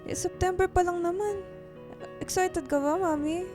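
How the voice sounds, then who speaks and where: A woman's voice: extremely high pitch, fairly even intonation, moderate pace, over music. A woman playing a thirteen-year-old daughter in a Filipino radio drama, childlike, whiny and pleading but cheerful. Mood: whiny, reluctant. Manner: childlike, whining, polite pleading.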